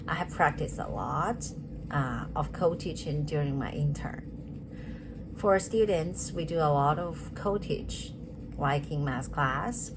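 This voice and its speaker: Low-voiced woman. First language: Chinese. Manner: earnest, measured, frequent restarts and self-corrections. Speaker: teacher interview candidate. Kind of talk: rehearsed interview answers. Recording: steady background noise.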